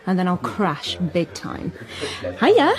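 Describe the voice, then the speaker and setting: A woman playing a bubbly, hyper young studio manager in a radio drama, breezy and excitable. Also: on the low side, slow pace, exaggerated voice. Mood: hyper, buzzing. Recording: noisy bar crowd behind.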